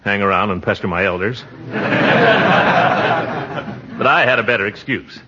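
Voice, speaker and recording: An actor playing a pompous, easily flustered middle-aged American uncle in a 1940s radio comedy. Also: male voice, lowish voice, normal speed, very noisy, close to an everyday voice. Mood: grumbling. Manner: pompous, flustered, given to chuckling. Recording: dull 1940s radio recording.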